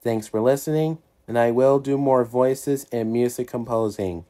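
A man's voice: medium pitch, moderate pace, dark tone. A man speaking to viewers as an amateur voice performer, introducing and closing his video.

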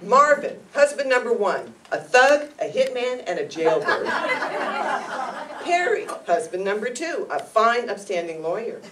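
A woman's voice: a little high, sing-song, a little slow, crowd noise. A middle-aged woman presenter reading scripted narration to introduce a radio play to an audience in a room. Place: meeting room stage, indoors. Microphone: far camera. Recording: distant camera mic, background noise.

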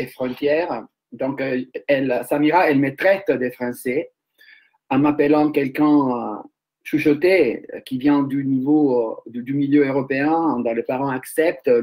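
A man in his forties from Italy, speaking as a researcher and filmmaker on a round-table panel, hesitant with many pauses and restarts, over a webcam video call from home. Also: a little high, a little mellow. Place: room at home.

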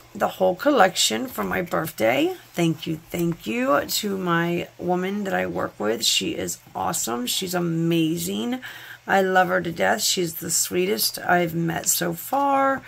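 A woman's voice: on the low side, creaky. A woman hosting her nail channel, congested from a cold, chatting effusively and thanking her viewers while she unboxes products at home. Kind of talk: sponsored unboxing vlog. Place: home nail studio, table top.

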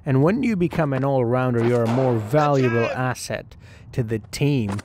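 A man's voice: medium pitch, lively intonation, moderate pace. Man narrating game play over background noise. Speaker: gaming video host. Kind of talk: first-person story narration over sports game play. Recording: clear background noise and ambience.

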